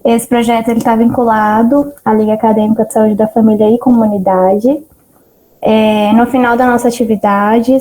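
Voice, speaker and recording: A woman's voice: fairly high pitch, dark tone, rough recording. A woman with a Brazilian accent, formally introducing a speaker as moderator of an online meeting over a video call.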